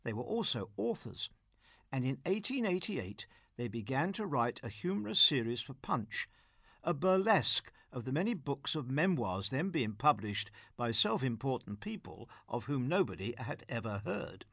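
A man's voice: mid-range voice, very animated, normal speed, gravelly, a bit bright, dry recording, clean studio sound. A man, presenting in scripted literary narration.

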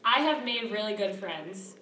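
A woman hosting a casual podcast, quick to react and animated when she jokes. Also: medium pitch. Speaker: podcast host. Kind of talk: casual chat. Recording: roomy echo with crowd noise.

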